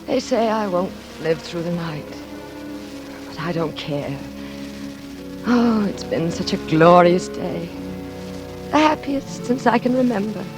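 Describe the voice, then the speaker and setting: Genteel lonely lady, lowish voice, nervous and wistful, old 40s radio thriller with music. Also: animated, takes it slowly, long pauses, low-quality recording. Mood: dreamy, fading. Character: genteel, nervous, lonely woman living alone, wistfully eager. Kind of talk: 1940s radio suspense acting with confiding narration. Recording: vintage radio drama with music behind.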